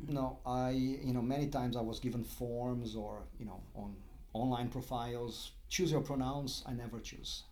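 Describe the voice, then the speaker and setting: Middle-aged Brazilian-accented man, mid-pitched voice, interview guest who stops and restarts often. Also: fairly even intonation, darkish tone. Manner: halting, many restarts and fillers. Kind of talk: casual interview talk.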